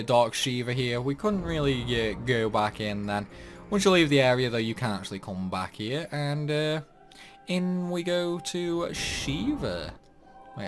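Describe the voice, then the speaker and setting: A young man giving rambling, casual commentary over a game playthrough. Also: mid-range voice. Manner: chatty, rambling, lots of likes and uhs. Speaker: gaming youtuber.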